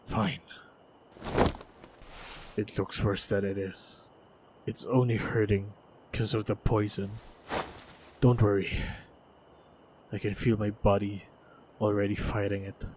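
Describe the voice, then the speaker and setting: A stoic, wounded lone monster hunter, acted by a man in a fantasy audio drama. His voice is low and stays very even, coming out in guarded, halting phrases. Faint noise and ambience sit behind him. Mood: calm, stoic. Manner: measured, halting, guarded delivery with heavy sighs. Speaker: voice actor in audio roleplay. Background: faint ambient sound effects.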